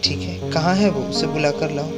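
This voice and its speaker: A man acting a calm, skeptical husband in a dubbed horror drama, soothing and dismissing his wife's fears. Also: on the high side, normal speed, close to an everyday voice. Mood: reassuring. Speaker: husband and father. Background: music.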